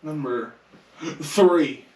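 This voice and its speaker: A man's voice: fairly high pitch, very slow, darkish tone. A man on a podcast panel talking casually about films and games. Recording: echoey room, distant mic.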